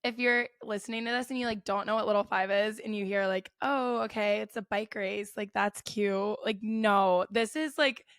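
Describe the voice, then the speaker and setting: A woman's voice: mid-range voice, steady tone, normal speed, few pauses, a bit bright, no echo, studio quality. Chatty young woman podcast host. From United States. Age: 20s. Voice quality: a bit raspy. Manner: chatty, says "like" constantly. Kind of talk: casual chat.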